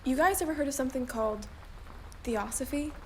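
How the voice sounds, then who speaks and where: A bookish teenage girl, played by a woman in a radio drama, fascinated by the occult. Her voice is very high, with faint rainy ambience around it. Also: brisk, a little hiss or hum, natural voice. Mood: earnest, mysterious. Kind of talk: scripted radio drama acting.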